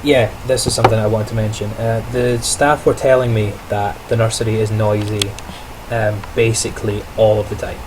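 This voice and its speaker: A young man records a casual video diary, his voice low and fairly monotone as he reflects on the day with small laughs and restarts. An air-conditioning hum runs steadily behind him in the quiet indoor room. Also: moderate pace, few pauses. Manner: chatty, self-correcting, reflective, laughs lightly. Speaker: trainee teacher on placement.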